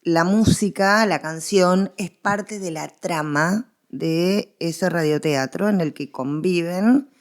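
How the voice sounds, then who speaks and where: An Argentine woman speaks as an interviewed expert in a low voice with noticeable creak. She explains conversationally, building her points through examples and emphatic repetition. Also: takes it slowly, hardly any pauses. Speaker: interviewed researcher of radio history.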